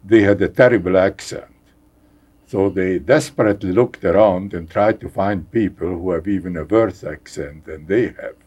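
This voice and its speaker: An older man from Hungary with a Hungarian accent, speaking haltingly as a guest in a conversational interview, repeating words and chuckling wryly. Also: sits low, a little mellow, breathy edge. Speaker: guest scientist.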